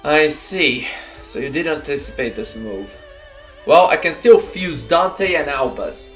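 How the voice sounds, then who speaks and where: A man's voice: on the high side, expressive, unhurried. A man playing a confident card duelist in a fan roleplay, announcing each move formally and theatrically. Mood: confident, calculating. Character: confident male card duelist, chanting dramatic summons. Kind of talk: fan roleplay anime-style duel acting. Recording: roomy echo under music.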